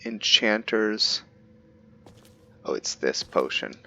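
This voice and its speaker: A man hosting a gaming video, musing aloud in a relaxed way as he plays and comments on what he finds. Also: fairly low pitch, slow pace, highs cut off. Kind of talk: casual game commentary.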